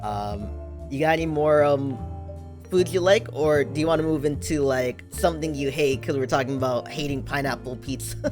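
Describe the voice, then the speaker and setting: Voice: mid-range voice, normal speed. Easygoing stream host with questions. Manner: easygoing, curious interviewer. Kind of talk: casual chat. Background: background music.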